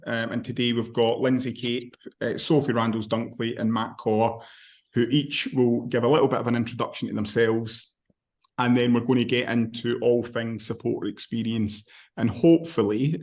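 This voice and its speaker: Scottish male host, broad accent, steady apologetic tone, low-key level pitch. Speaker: webinar host. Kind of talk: hosting an online panel. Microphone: video call.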